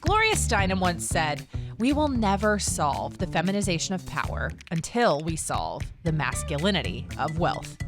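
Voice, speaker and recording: A woman reading the podcast's scripted opening in a polished announcer style. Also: on the low side. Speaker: show announcer. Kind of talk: scripted intro narration. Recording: voice over music bed.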